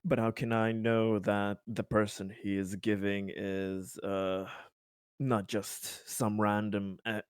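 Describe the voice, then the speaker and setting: A man playing a tabletop roleplaying game, improvising casually with hesitant, deliberate phrasing. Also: fairly low pitch, level tone, clean tone, no echo, clean studio sound.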